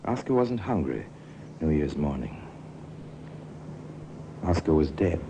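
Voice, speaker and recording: A man narrating a 1950s radio crime drama in a grave, deliberate, ominous manner. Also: sing-song, creaky, loud music behind the voice, heavily acted. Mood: grave, measured. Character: grave male storyteller of a true-crime tale. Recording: noisy old radio recording with rumble.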